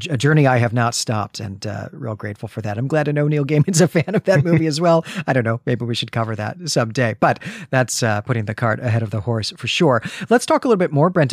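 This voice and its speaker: A man's voice: mid-range voice, dry recording, studio quality. A man co-hosting a podcast, talking reflectively and conversationally about stories and posing questions. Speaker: podcast co-host. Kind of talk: conversational literary podcast discussion.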